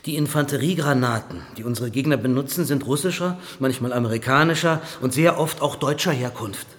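An actor playing a stern, defensive and cynical army colonel in a radio drama, with sardonic, clipped official phrasing. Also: male voice, medium pitch, fairly quick, long reverb tail, lightly acted. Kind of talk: German radio drama dialogue.

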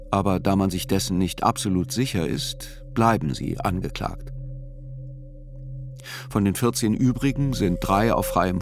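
A man acting as a writer who narrates a trial report in a radio play, measured, sober and precise. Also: fairly low pitch, background noise.

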